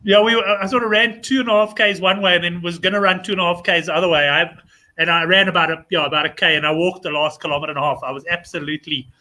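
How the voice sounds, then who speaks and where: A South African man with a raspy voice, hosting a casual, chummy chat show. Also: sits high, fairly even intonation, fast talker, pushed and bright. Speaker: show host.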